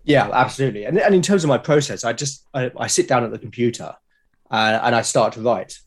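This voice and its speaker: A man's voice: mid-range voice, fairly quick. Reflective British man in conversation. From Britain. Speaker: podcast guest, creator of a solo role-playing show. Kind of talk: conversational interview, explaining his creative process.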